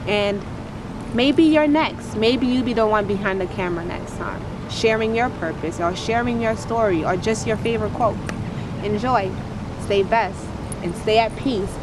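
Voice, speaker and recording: Woman with a big strong voice preaching uplifting stuff to camera, street and water noise all around, mid pitch. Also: moderate pace. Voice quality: strong, powerful voice. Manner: earnest, preachy, repetitive uplifting cadence. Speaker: motivational speaker and poet. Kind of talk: inspirational testimony to camera.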